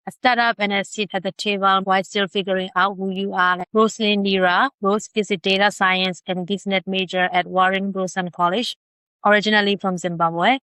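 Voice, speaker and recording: A woman's voice: mid-range voice, a bit bright, smooth, dry recording, low-quality recording. A woman hosting a podcast who reads a scripted intro and then asks her guest plain, friendly questions. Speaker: podcast host. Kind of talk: interviewing a guest.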